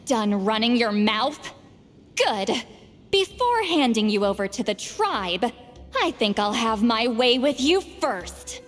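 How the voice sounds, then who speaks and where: A woman's voice: on the high side, very animated, slow pace. A woman voicing a centuries-old female shaman with a young voice, cutting and threatening. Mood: menacing. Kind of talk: game cutscene voice acting. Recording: game audio with music.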